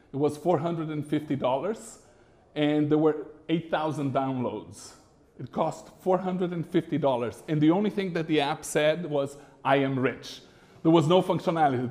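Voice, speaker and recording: A middle-aged man from Brazil giving a masterclass presentation to a live audience in a small room, animated and conversational with frequent fillers. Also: a little high. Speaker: business consultant lecturer. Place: small lecture room with a screen.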